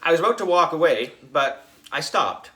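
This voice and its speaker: A man narrating a children's story as a schoolboy, in an expressive, dramatic way. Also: fairly high pitch, normal speed. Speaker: audiobook reader, narrating as a schoolboy.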